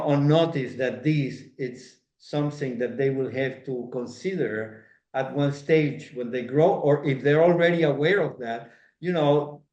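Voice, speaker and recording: A man's voice: mid-range voice, darkish tone. A middle-aged man from Venezuela, talking earnestly as an interview guest in a reflective spiritual conversation, gesturing broadly as his phrases loop and circle back. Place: home room, indoors. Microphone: video call webcam. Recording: roomy, dull video-call audio.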